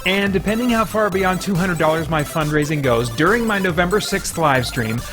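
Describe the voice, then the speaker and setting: A man speaking as a channel host, giving an upbeat scripted pitch to viewers in a playful, self-deprecating manner. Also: lively intonation, moderate pace, over music. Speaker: host of a geek-culture review channel. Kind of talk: upbeat scripted review outro and fundraiser pitch.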